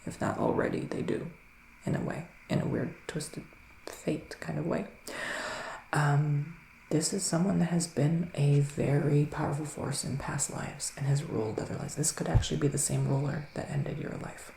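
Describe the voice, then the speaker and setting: Psychic male witch, played by a man for ASMR roleplay: soft, breathy, unhurried and musing, gravelly fry, gentle but gloomy, faint noise behind. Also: on the high side, level tone. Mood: conspiratorial. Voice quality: soft, breathy, often slipping into whispers. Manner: hushed, unhurried, musing, with dramatic pauses. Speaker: ASMR performer, tarot reader. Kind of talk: ASMR roleplay tarot reading. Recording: roomy sound with faint background noise.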